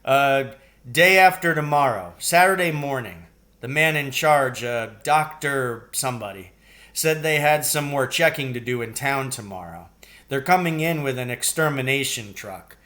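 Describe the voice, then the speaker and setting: A man's voice: mid-range voice, lively intonation, moderate pace, bright, forceful tone. Man reading as a folksy, practical farmer dad. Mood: matter-of-fact. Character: Mr. Fitzgibbon, practical matter-of-fact farmer father. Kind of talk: acted storybook dialogue.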